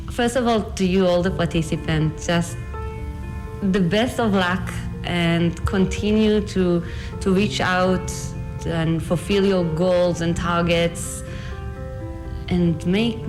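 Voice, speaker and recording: A woman from Israel speaks earnestly and encouragingly in a fairly low voice. Music plays clearly behind her words. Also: slow pace, poor audio. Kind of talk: video testimonial. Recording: voice over background music.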